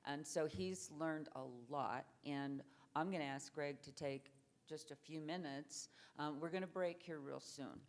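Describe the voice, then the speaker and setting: A woman moderating a panel discussion, organized and smooth as she passes the floor to speakers. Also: low voice, a little slow.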